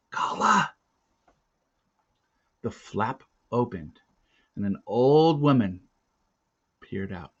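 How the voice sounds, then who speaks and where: A man reading a children's novel aloud with deliberate, dramatic phrasing. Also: fairly low pitch, muffled recording. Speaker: story reader. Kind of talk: expressive read-aloud narration of a children's novel.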